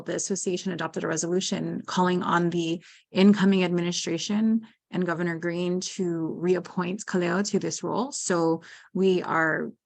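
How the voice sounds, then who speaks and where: A woman's voice: lowish voice, gravelly. A woman from Hawaii hosting a club meeting on a video call, friendly and a little hesitant. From Pearl City, Hawaii. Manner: friendly, hesitant, many ums. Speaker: club president and meeting host.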